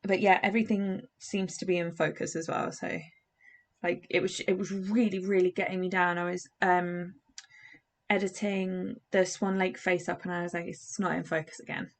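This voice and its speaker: Chatty English young woman, slightly gravelly. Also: fairly low pitch, level tone, normal speed. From England. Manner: chatty and rambling, many fillers and restarts. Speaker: doll customizing vlogger. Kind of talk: casual chat while crafting.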